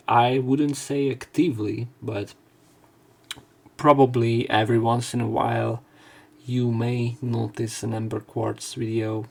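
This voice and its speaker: A man's voice: fairly low pitch, creaky, a little mellow, breathy edge. A young man hosting a let's play, casually commenting and reading narration aloud in a rambling, hesitant way.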